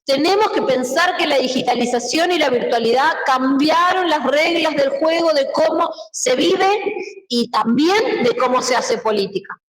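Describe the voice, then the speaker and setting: An Argentine woman with a fairly high voice delivers a political speech to an audience. She is impassioned and repeats phrases for emphasis. The sound is echoey and picked up at a distance from the microphone. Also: a bit bright. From Mendoza, Argentina. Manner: rhetorical questions, emphatic repetition. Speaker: book presenter, political speaker. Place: indoor public book presentation. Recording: roomy event hall, distant microphone.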